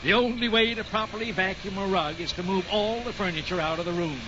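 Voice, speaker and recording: Man playing high-voiced blustery radio sitcom husband. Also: fast, close to an everyday voice. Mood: weary grumbling. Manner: boastful, theatrical. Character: blustery, cocky husband doing the housework. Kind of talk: 1940s radio situation comedy acting. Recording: muffled, noisy 1940s radio recording.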